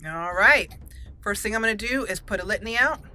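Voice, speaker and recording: A woman's voice: mid-range voice, animated, fairly quick, bright, forceful tone, a little hiss or hum. A woman giving casual let's play commentary, thinking aloud and talking straight to the game's characters. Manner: thinking aloud, addressing game characters directly. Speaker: gaming channel host.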